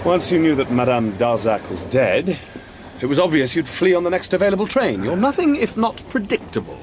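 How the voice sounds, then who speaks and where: A man's voice: fairly high pitch, big pitch swings, a little fast, darkish tone, slight background noise. A man acting a shrewd, self-assured detective in an audio drama, telling his explanations with theatrical relish. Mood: commanding, confident.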